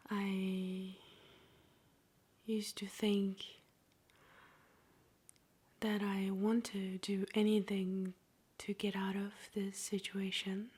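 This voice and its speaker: Breathy whispering woman, slow ASMR meditation. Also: medium pitch, little pitch movement. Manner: slow, gentle, soothing delivery with long pauses. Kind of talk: soft-spoken whispered ASMR guided meditation.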